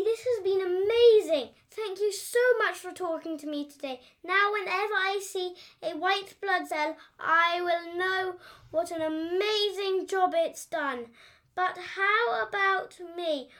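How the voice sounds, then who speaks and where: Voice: very high-pitched, pushed and bright, heavily acted. A performer playing a small, curious, easily amazed red blood cell in a children's educational audio story, with an eager, questioning manner. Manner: wide-eyed, eager, questioning.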